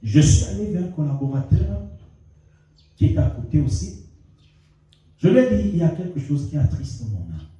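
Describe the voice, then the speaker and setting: A male pastor with a cold, preaching a sermon and telling a story with lively rising and falling emphasis. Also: fairly high pitch, moderate pace, long pauses. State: has a cold. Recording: echoey hall, mic at a distance.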